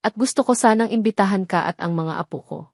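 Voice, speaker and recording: A gentle older matriarch of an audio drama, acted out by a woman narrator. She speaks softly and earnestly, with affection and quiet authority. The sound is dry and intimate. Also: mid-range voice, fast, dry recording, natural voice. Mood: affectionate. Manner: soft-spoken, affectionate, earnest.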